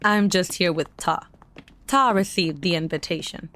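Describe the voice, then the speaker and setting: A woman's voice: on the low side, moderate pace, a bit bright, slight background noise, lightly acted. A woman playing a skeptical, wary young friend tagging along in an audio drama. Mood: guarded. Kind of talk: full-cast audio drama acting.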